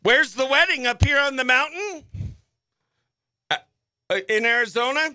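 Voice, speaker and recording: A man's voice: very high-pitched, animated, moderate pace, bright, forceful tone, clear, resonant tone, dry recording, studio quality. A man hosting a live morning radio show, chatting exuberantly and slangily as he runs giveaways and phone-in games.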